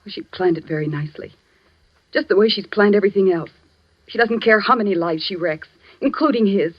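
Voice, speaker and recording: A woman's voice: mid-range voice, brisk, natural voice. An actress playing a jilted, jealous and vengeful woman in a 1940s radio melodrama, intense and theatrical. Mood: desperate, vengeful. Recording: muffled old radio recording.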